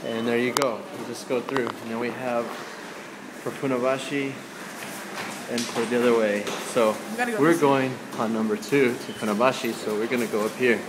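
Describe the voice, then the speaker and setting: A young adult man casually narrating a walking vlog in a plain, low-key way, recorded on a handheld camera. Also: steady tone, normal speed. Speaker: vlogger. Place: outdoors in rain and busy train stations. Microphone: handheld camera at arm's length. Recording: loud street and station noise, handheld camera. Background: urban ambience, trains, vehicles.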